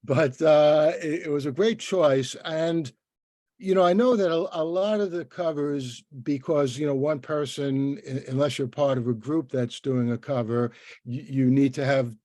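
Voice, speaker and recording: An older man with a soft, mellow voice hosts a conversational interview. He speaks in a halting way, often doubling back on words as he forms a question. The sound is dry and close, with very little room in it. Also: on the high side, fairly even intonation, dry recording. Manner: hesitant, stammering with repeated words.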